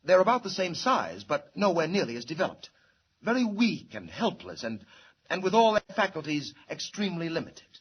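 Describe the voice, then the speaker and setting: A devoted alien scientist and father in an old-time radio drama. His voice is high-pitched and husky, with an earnest, theatrical urgency. He sounds anxious and utterly serious. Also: very animated, normal speed, poor audio. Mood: earnest, intent.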